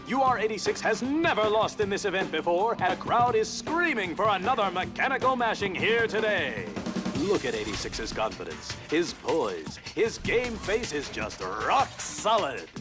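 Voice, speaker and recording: A man's voice: sits high, sing-song, normal speed. A man voicing a pompous veteran sports commentator in a video game, showy and over the top, stretching out his words. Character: pompous, bombastic veteran male racing show commentator. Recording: music under the voice. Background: music.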